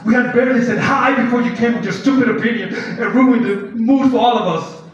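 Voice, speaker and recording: An actor playing a tipsy lawyer husband on a live stage, pleading with his wife and shouting and swearing as they argue. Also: very high voice, fairly even intonation, brisk. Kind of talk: live stage play acting. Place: theatre stage. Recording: echoey hall, far mic, dull sound.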